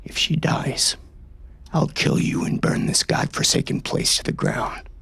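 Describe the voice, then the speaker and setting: A man's voice: measured pace, fairly bright tone, lightly acted. An actor playing a hard-bitten western sheriff and grieving, furious father, narrating a dramatic audiobook in an intense, menacing way. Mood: angry, threatening.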